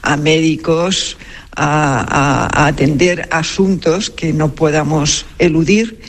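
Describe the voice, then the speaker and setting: Firm woman over clear background noise. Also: sits low, slow pace. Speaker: cloistered nun. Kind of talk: interview answers.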